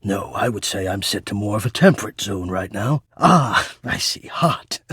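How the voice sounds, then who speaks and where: A lovestruck male spirit of the air ducts for a romance video game. His voice is extremely soft-edged and husky, at a middling male pitch, in a dry, intimate recording. He speaks in ornate, earnest, hesitant phrases, like a shy and ardent servant devoted to one person. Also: no echo. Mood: shy, intrigued.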